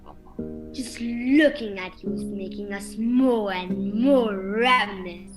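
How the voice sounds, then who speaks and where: A woman's voice: mid-range voice, takes it slowly, lightly acted. A woman playing a polite but menacingly hungry castle host in a student radio play, formal and sly. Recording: music clearly behind the voice.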